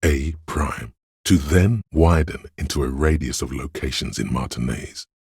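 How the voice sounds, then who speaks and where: A man voicing a detective's analytical inner voice for a video game, in precise, measured, dryly observant narration with a gravelly, husky tone. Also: a bass voice, a little mellow, no room sound, clean studio sound, heavily acted. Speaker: game voice actor.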